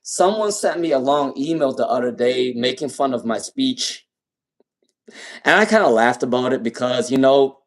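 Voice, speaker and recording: A man's voice: medium pitch, animated, moderate pace. A man with a non-native accent and a speech impairment, giving live tarot readings. He repeats names and questions over and over as he pulls cards. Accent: non-native English. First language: not English. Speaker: tarot reader.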